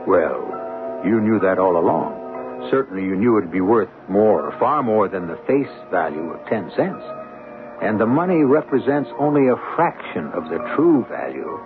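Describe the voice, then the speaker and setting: A man hosting a radio drama with theatrical scripted narration, measured, rhetorical and portentous. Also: on the low side, big pitch swings, over music. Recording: tinny old radio broadcast.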